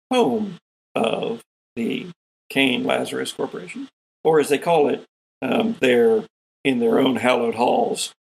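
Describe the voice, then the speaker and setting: Gravelly man narrating as game master. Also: mid-range voice, rough recording. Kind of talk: improvised tabletop game narration.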